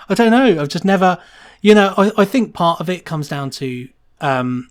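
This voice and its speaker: A man's voice: high voice, animated, normal speed. A man chatting casually and enthusiastically as an interview guest, rambling through his answers in a loose, conversational way. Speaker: podcast guest, sound designer.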